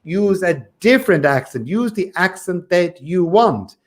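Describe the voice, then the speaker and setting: A man's voice: sits high, expressive, measured pace. A man from Ireland working as an English teacher, chatting casually on a livestream and coaching learners in an encouraging, emphatic way, often repeating his phrases.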